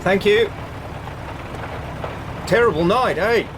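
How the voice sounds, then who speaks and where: A well-mannered young traveller in a period radio drama. His voice is a little high and hesitant, with faint ambience around it. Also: slow, noisy background. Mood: polite, hesitant. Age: young adult. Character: polite, hesitant young nephew arriving from abroad.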